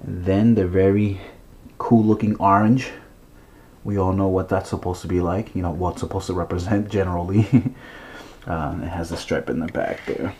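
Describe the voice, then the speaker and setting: A man's voice: sits low, fairly even intonation, normal speed, warm tone, slight background noise. A man with a stuffy nose showing his toy car collection at home in a rambling, chatty way, full of fillers and restarts. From Massachusetts, USA. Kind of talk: casual vlog.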